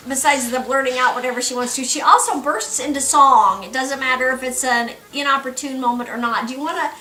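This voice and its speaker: A middle-aged woman comedian chatting casually as an interviewer, halting and coaxing, recorded from a distance in a roomy space. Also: sits high, sharp tone, a little hiss or hum. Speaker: comedian presenting a one-woman show and interviewing.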